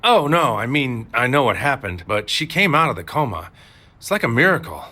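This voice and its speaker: An actor playing a troubled, haunted agent in a surreal audio drama, speaking in a brooding, deliberate, poetic way. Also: male voice, medium pitch, big pitch swings, pushed and bright. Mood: awkward, hesitant. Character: troubled, haunted male agent narrating his own surreal missions. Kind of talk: noir-like poetic audio drama narration and dialogue. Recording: music bed under the voice.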